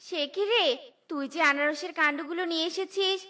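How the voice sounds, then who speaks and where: Woman acting a poor mother bird, cartoon, very high pitch, earnest, plaintive, caring. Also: fairly quick. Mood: gentle.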